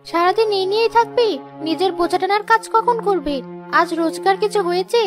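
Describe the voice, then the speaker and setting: Woman acting a very high-voiced, plaintive, worried wife. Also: a little fast, music in the background. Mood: reproachful. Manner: reproachful, plaintive. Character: weary, worried wife of a poor honest porter. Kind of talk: animated folk-tale dialogue.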